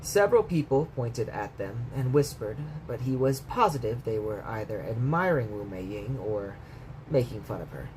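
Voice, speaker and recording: A man's voice: mid-range voice, lively intonation, normal speed, a little mellow, low-quality recording. A man reading a bedtime story aloud as a storyteller, in scripted narration. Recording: clear background noise.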